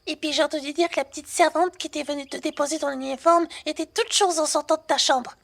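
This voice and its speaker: A woman's voice: high-pitched, steady tone, quick pace, gravelly. A woman playing a brash, cheeky young working girl in a French roleplay audio drama, talking in casual slang with a teasing manner. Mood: teasing, breezy.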